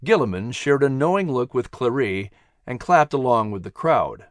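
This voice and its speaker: A man narrating a story in an animated, dramatic, expressive style. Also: mid-range voice, normal speed, dry recording, studio quality. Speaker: audiobook narrator.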